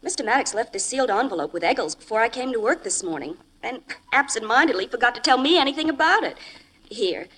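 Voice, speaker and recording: An actress playing a self-assured, teasing young American secretary in a 1940s radio crime drama, with brisk, coy delivery. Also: female voice, on the high side, lively intonation, a bit bright, slightly rough. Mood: businesslike. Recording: noisy vintage radio recording.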